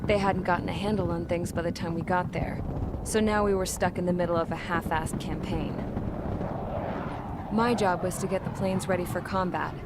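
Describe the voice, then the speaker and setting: An actress playing a weary, cynical military pilot-mechanic who narrates a game cutscene in a blunt, jaded way. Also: female voice, fairly low pitch, natural voice. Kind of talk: scripted first-person game cutscene narration. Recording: loud noise under the voice.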